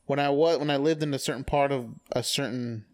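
A man's voice: mid-range voice, fairly even intonation, a little mellow. A young man with a mid-range voice jokes around with his friends, pushing them to try out bits and ribbing them when they don't. His delivery is loose and conversational. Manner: teasing, quick, goading the others. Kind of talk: casual chat among friends.

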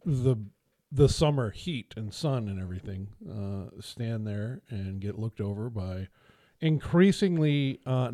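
A man's voice: medium pitch, warm tone, no room sound. A man co-hosting a casual podcast from a small studio, telling rambling, self-deprecating stories. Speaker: podcast co-host. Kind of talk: casual storytelling chat.

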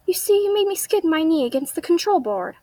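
A woman's voice: extremely high pitch, big pitch swings, fairly quick, mellow, no echo. A young woman playing a plaintive, stubborn child sidekick in a classic radio drama. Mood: complaining.

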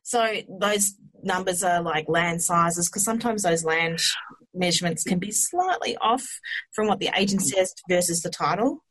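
Female Australian podcast host, low slightly husky voice, conversational questioning. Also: expressive, normal speed, a bit bright, rough recording. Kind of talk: conversational interviewing.

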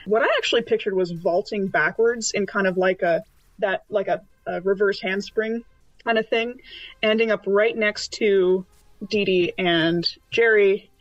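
A woman's voice: medium pitch, fairly even intonation, measured pace, dry recording. Female roleplaying player, casual and hesitant, mid register, dull dry sound. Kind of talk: casual in-game description and table chat.

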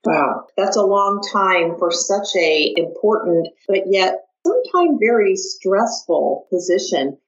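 A woman's voice: mid-range voice, animated, slow, few pauses, highs cut off. A woman from the American Midwest with an American accent, hosting a conversational podcast interview. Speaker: podcast host.

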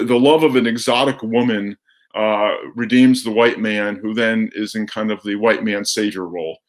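A man speaking as a guest in a conversational film discussion, measured and hesitant, carefully qualifying his opinions. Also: mid-range voice.

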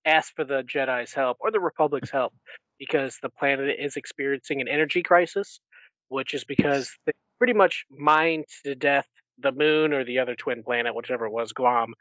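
A man's voice: mid-range voice, fairly bright tone, no room sound, highs cut off. A man co-hosting a podcast, explaining and discussing books in a casual conversational way. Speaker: podcast co-host.

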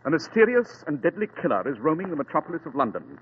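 An actor playing a brisk, cool-headed male detective in a crisp, clipped, matter-of-fact manner, in 1940s radio acting. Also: a little high, big pitch swings. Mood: calm. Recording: tinny lo-fi old radio broadcast with faint hiss.

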